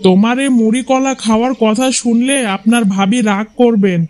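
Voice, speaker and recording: A man's voice: very high-pitched, fairly quick, darkish tone, slight background noise. A man voicing a chatty, opinionated husband in a cartoon folk tale, with a coaxing manner. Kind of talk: Bengali cartoon fairy-tale voice acting.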